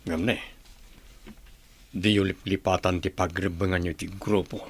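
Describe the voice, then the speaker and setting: A man with an Ilocano accent playing an authoritative rebel group leader in a radio drama, measured and commanding. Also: deep voice, flat delivery, slightly rough, exaggerated voice. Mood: commanding, approving.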